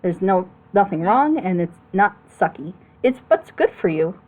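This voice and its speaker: A woman's voice: medium pitch, very animated, moderate pace. Woman commentator, slightly dull lo-fi sound. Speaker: reaction show commentator. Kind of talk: casual opinionated discussion.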